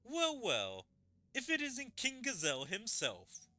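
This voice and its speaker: A man's voice: extremely high pitch, huge pitch swings, takes it slowly, sharp tone, voice pushed up, heavily acted. A man voicing a polite, composed oni swordsman officer, reading fantasy game dialogue aloud in a formal manner. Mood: coolly amused.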